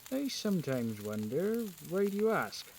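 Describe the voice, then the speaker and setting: A man's voice: high voice, very animated, measured pace, darkish tone, full character voice. Man acting bitter, sardonic villager, faint crackle. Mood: challenging. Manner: sardonic, challenging. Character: bitter, resentful lower-class village husband in plague times. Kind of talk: period audio drama acting.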